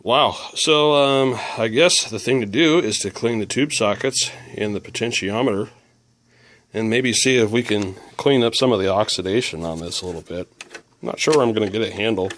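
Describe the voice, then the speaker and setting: A man's voice: on the low side, normal speed, a bit bright. A man giving a casual hands-on repair demonstration, musing and thinking aloud as he works. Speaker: radio repair hobbyist presenter.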